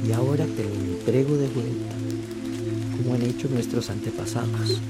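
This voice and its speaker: A woman playing a wise, consoling old village spiritual figure in a radio drama, solemn and soothing. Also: sits low.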